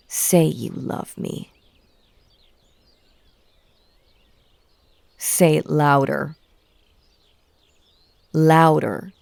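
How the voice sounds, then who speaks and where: Casting: female actor as a possessive yandere bunny girl for audio roleplay; very unhurried, creaky, coaxing and hypnotic. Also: sits low, a little mellow, no echo, exaggerated voice. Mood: commanding, hypnotic. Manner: slow, breathy, coaxing, slipping into whispers. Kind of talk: intimate audio roleplay acting.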